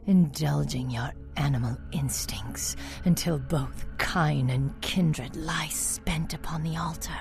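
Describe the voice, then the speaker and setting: Low, creaky, seductive vampire cultist. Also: female voice, darkish tone, full character voice. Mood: ecstatic, hungry. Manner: breathy, drawn-out, theatrical purring. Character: seductive, deranged female vampire cult zealot, predatory and sickly-sweet. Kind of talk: video game villain dialogue. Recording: music behind the voice.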